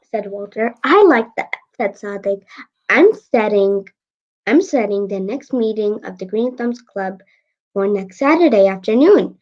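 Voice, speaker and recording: Young girl reading aloud, dull lo-fi audio. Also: a little high. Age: child, 8 years old. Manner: stumbles and repeats phrases while reading. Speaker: young guest co-reader.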